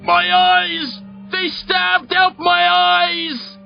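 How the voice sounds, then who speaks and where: A male narrator voicing a frantic, terrified man with strained, high cries in an audiobook story. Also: little pitch movement, extremely slow, gravelly, lo-fi sound, faint music behind, heavily acted. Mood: terrified, screaming. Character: frantic uncle screaming from a nightmare, and his pleading nephew.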